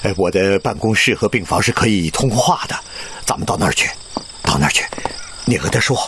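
An actor playing a calm, caring senior Chinese doctor and professor, reassuring and measured, in a radio drama. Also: male voice, lowish voice, noisy background, lightly acted. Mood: warm, encouraging. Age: older.

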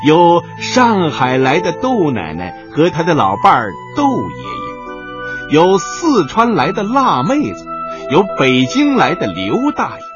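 Male narrator, playful and highly expressive, fairly high voice, clear music bed, slightly dull sound. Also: measured pace. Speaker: narrator of an audio drama. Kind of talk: playful scripted storytelling narration. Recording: dull audio under prominent music.

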